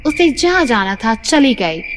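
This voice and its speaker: A woman's voice: fairly high pitch, big pitch swings, unhurried, background noise. A woman voicing a young hostel resident in a cartoon horror story, who is first dismissive and then frantically pleading. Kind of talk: cartoon voice acting. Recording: reverberant, with music behind.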